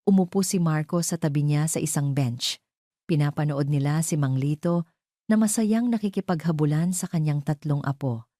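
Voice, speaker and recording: A woman's voice: deep voice, dark tone, dry recording, clean studio sound. A woman narrating a scripted romantic drama story as a storyteller.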